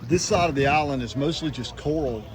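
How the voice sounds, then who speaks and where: A man's voice: medium pitch, fairly quick, background noise. A man narrating a casual travel vlog outdoors in a laid-back, folksy manner. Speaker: travel vlogger. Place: outdoors on walkways, boat and island. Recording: outdoors with music and crowd behind.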